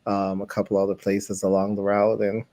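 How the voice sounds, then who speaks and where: A man's voice: fairly low pitch, completely flat delivery, normal speed, mellow, no echo. A man hosting a live stream, chatting casually and rambling a little between laughs. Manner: friendly, rambling, laughs easily. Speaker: host.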